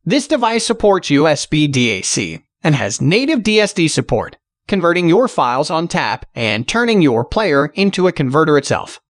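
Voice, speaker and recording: A man's voice: on the high side, sing-song, moderate pace, few pauses, no room sound, studio quality. A man reading a scripted product review as a voice-over in a polished, presentational way. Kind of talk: scripted promotional voice-over narration.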